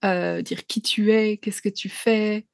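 A woman's voice: medium pitch, steady tone, takes it slowly, creaky, no room sound. A woman hosting a podcast interview, asking friendly questions with many fillers. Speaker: podcast host. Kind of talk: casual interview chat.

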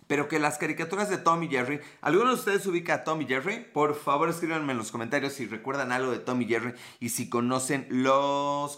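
A man with a Mexican accent chats casually on a livestream, greeting viewers by name and joking sarcastically. His voice sits a little high and sounds bright and forceful. He swears freely and talks loosely with his audience. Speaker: livestream host.

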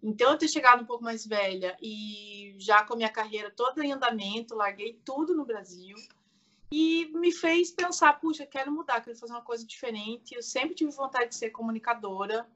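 A woman in her forties chats informally as a guest, in southern Brazilian Portuguese. Her voice is fairly high and very bright, though the recording is a little muffled. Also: brisk. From Curitiba, Brazil. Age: 40s. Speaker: interview guest, content creator. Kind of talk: casual chat.

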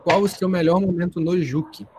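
A man's voice: high voice, steady tone, moderate pace, darkish tone, a little hiss or hum. A man of twenty hosting a casual podcast from home, joking and teasing his friends. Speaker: podcast host.

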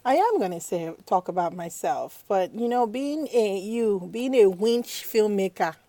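A woman's voice: mid-range voice, expressive, dark tone, dry recording. A woman co-hosting a podcast in playful, chatty conversation. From Nigeria. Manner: chatty, playful, quick to laugh. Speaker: podcast co-host. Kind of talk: casual podcast chat.

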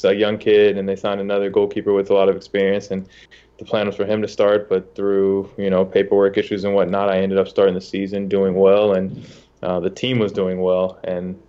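A man's voice: low voice, monotone, a little fast, clear, resonant tone, highs cut off. A young man from the United States, a soccer goalkeeper, telling his story in a casual interview with many fillers and restarts.

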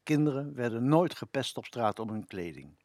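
An elderly man in his seventies presenting memories on local radio. His medium-pitched voice has lively swings in intonation, recorded dry and close. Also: moderate pace, no room sound. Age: 70s.

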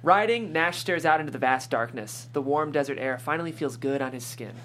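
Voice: medium pitch, a little fast, fairly bright tone, a little hiss or hum. A person reading screenplay stage directions aloud as scripted narration at a table read.

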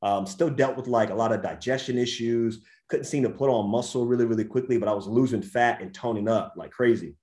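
A man in his twenties with an American accent, a vegan coach telling his story as an interview guest in a lively, slangy way. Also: medium pitch, fairly quick, few pauses, reverberant. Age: 20s. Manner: animated storyteller, slangy, says dude and bro. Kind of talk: casual podcast interview.

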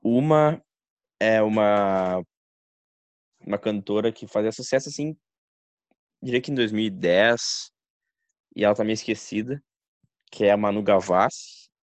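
A man's voice: fairly low pitch, unhurried, pauses often, dry recording. Blunt, ranting man, dry sound. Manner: blunt, ranting, swears freely. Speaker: podcast co-host. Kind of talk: casual chat among friends.

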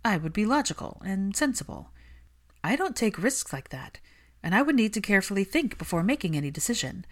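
Woman acting a defensive young witch, animated pitch. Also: a little fast. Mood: flustered, guarded.

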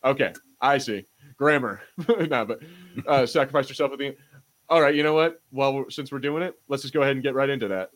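A man's voice: medium pitch, quick pace. A man co-hosting a podcast in casual pop-culture banter, speaking informally and swearing freely. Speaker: podcast host.

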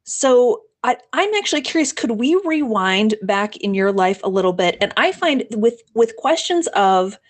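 A woman's voice: on the high side, lively intonation, moderate pace, hardly any pauses. A woman co-hosting a podcast, curious and asking probing follow-up questions in a casual way. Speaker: podcast co-host.

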